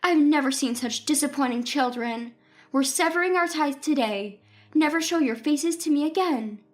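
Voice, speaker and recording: A woman's voice: high voice, moderate pace, lightly acted. A woman voicing a stern older mother, cold and indignant as she disowns her grown children. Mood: stern, disgusted.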